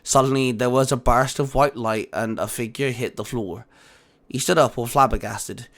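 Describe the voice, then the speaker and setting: A man's voice: mid-range voice, fairly even intonation, normal speed. A man reading scripted audiobook narration for a fan fiction adventure story.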